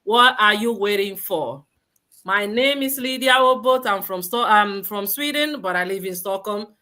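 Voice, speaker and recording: A woman's voice: mid-range voice, measured pace, sharp tone. A woman from Nigeria hosting a live online webinar, upbeat and exhortative, asking many questions of the audience. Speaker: host. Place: lives in Sweden.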